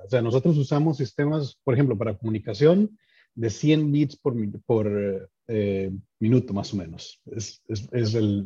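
Husky Costa Rican man explaining, muffled audio. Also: medium pitch, mellow. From San Carlos, Costa Rica. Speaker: podcast guest, doctoral student in engineering. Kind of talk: explanatory interview talk.

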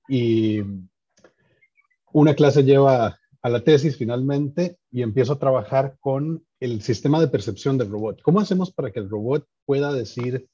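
A young man with a Costa Rican accent, explaining his work as an interview guest in a halting, think-aloud way. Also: medium pitch, dark tone, slightly rough, highs cut off. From San Carlos, Costa Rica. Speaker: podcast guest, doctoral student in engineering.

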